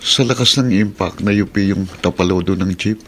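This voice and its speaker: A man's voice: deep voice, moderate pace, fairly bright tone, a little hiss or hum. An actor playing an injured middle-aged jeepney driver and father in a Filipino radio drama, plaintive and weary, with a Filipino accent. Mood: resigned, weary.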